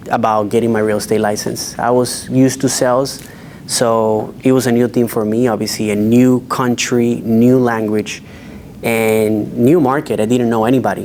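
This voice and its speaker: A man from the Dominican Republic, a real estate agent speaking on a panel and telling his career story in an earnest, measured way. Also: medium pitch, darkish tone, slight background noise. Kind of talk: panel discussion.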